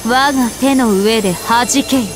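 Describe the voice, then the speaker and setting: A woman playing a regal, serene young planetary spirit princess in an anime game, speaking formally and with measured elegance. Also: on the high side, lightly acted, low-quality recording. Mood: imperious, solemn. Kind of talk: scripted anime game voice lines. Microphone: studio microphone. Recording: music behind a close dry studio voice.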